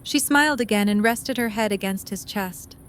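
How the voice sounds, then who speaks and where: A woman's voice: mid-range voice, moderate pace, slight background noise. A woman narrating a scripted story as the narrator of an audio drama.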